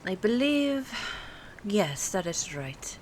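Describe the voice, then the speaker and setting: Girl acting as a haughty but secretly fond vampire lady, asmr roleplay, soft n breathy, really slow with pauses, bit of background ambience. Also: mid-range voice, big pitch swings. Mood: calm, explaining. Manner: formal, hesitant, haughty phrasing with drawn-out pauses. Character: aloof, teasing female vampire, old, secretly fond. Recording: clear background ambience noise. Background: rain, city ambience, soft music.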